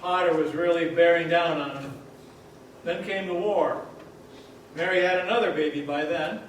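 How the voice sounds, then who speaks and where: A man playing a solemn senior angel in a radio play, telling a story in measured fashion on a theater stage. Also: on the high side, lightly acted. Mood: solemn, narrating. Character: solemn senior angel recounting a man's life. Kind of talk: old-time radio play acting on stage. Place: theater hall, distant mic. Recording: distant echoey stage recording, faint noise.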